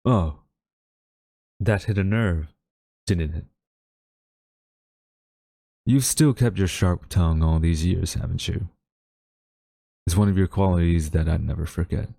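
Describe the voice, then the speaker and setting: Man acting a slow, deep-voiced haughty government agent. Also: creaky, warm tone, dry recording, exaggerated voice. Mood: smug taunting. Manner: smug, deliberate, theatrical. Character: haughty, wistful male government agent, captor of former friend. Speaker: audio roleplay voice actor. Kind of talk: dramatic audio roleplay monologue.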